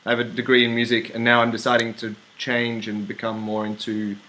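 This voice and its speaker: A man's voice: medium pitch, monotone, a little fast, bright, forceful tone, slight background noise. A young man interviewing a guest, asking loose, friendly questions with some fillers. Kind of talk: casual interview talk.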